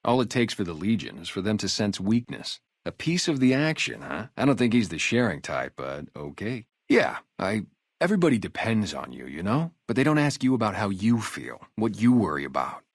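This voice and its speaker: Low-voiced, streetwise wasteland sniper guard. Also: male voice, sing-song, moderate pace, dry recording, clean studio sound. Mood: matter-of-fact, then earnest, friendly. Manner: casual, streetwise, conversational. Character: gruff ex-gang sniper guarding a wasteland town, loyal, guarded. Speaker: security guard and former soldier. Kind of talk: video game character dialogue, naturalistic.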